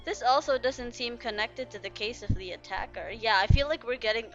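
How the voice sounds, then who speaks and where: A woman's voice: a little high, brisk, muffled recording, faint music behind. A woman doing casual game playthrough commentary, chatting and musing aloud as she reacts to the characters. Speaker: game streamer.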